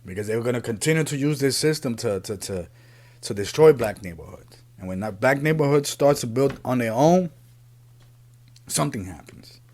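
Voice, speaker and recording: A man, born in Puerto Rico and raised in the Bronx, giving an earnest, unscripted opinion monologue as a podcast host, often halting and repeating himself. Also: medium pitch, expressive, a little mellow. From Puerto Rico, raised in the Bronx, New York City.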